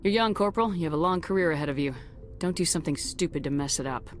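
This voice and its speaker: An actress playing a composed, firm female military commander in a video game, speaking with steady, probing authority. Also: low voice, fast, slight background noise, close to an everyday voice. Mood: steady, authoritative.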